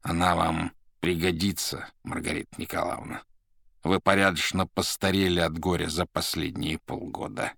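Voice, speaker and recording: A man's voice: low voice, level tone, normal speed, gravelly, no room sound, studio quality, heavily acted. Man acting out an irritable, bossy demon emissary. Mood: curt, instructing. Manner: commanding, exasperated, hissing and yelling when crossed. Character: Azazello, irritable gruff male demonic emissary, bossy and sardonic. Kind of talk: dramatised audiobook character voice.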